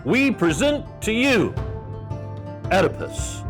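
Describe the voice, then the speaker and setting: Animated, high-pitched male storyteller over music. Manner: playful, dramatic pauses. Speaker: narrator introducing the play. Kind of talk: theatrical storytelling narration with comic asides.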